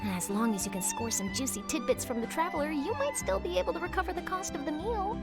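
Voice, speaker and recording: A scoop-hungry young female journalist from an anime game. Her voice is extremely high and very animated, with perky, gushing, theatrical exclamations. Music plays clearly behind her. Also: fast talker. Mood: frustrated, self-soothing. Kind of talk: anime-style video game voice acting.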